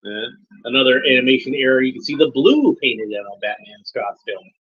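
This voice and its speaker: A man's voice: medium pitch, a little fast, husky, dull audio. A man giving casual running commentary while watching an episode, chatty and fond, pointing out details as they come up.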